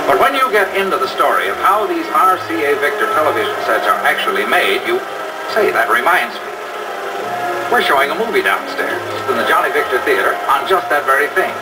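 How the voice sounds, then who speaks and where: A man's voice: mid-range voice, sing-song, moderate pace. Upbeat 1950s salesman, distant muffled film. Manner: upbeat, persuasive sales patter. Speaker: salesman guiding a visitor. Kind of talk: 1950s promotional film dialogue. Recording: distant, muffled old film sound with loud music.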